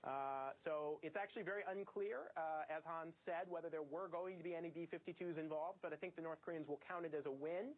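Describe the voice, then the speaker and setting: A man's voice: a little high, steady tone, fairly quick, hardly any pauses. A man giving expert analysis in a news interview. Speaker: expert commentator. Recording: tinny phone-like audio.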